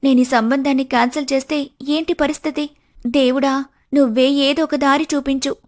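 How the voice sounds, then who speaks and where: A woman's voice: high-pitched, little pitch movement, moderate pace, close to an everyday voice. A woman voicing a polite young bride-to-be in a family story, studious, hesitant and earnest. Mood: anxious.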